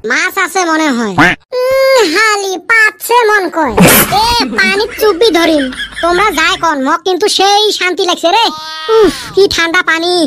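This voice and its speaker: A very shrill, childlike cartoon voice put on by a performer plays a cheeky village kid. The kid complains and exclaims in a northern Bengali accent. There is clear noise behind the voice. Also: expressive, normal speed. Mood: relieved, chatty. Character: mischievous village kid grumbling about the heat. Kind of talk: comedy cartoon dialogue.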